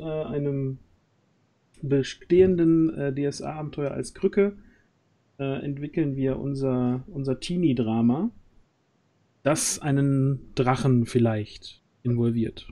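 A man in his thirties hosting a casual livestream, speaking haltingly as he searches for words into a close microphone. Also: medium pitch, dark tone. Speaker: stream host. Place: indoor home streaming setup. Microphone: close studio microphone on arm.

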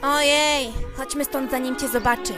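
A woman's voice: high voice, steady tone, moderate pace, close to an everyday voice. A woman voicing an eager, boastful young male rabbit in an amateur cartoon fan dub. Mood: nervous. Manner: eager, exclamatory, childlike. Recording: music behind the voice.

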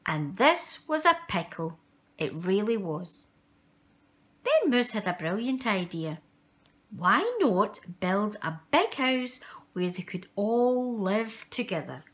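Woman reading a children's story, very lively pitch. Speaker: storyteller reading a children's picture book aloud. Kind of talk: expressive read-aloud children's story narration.

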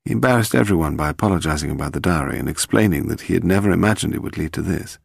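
A British man voicing a brisk, composed English woman secretary in a clipped, matter-of-fact way for an audiobook. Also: a bass voice, animated, gravelly, husky, no room sound, clean studio sound, full character voice.

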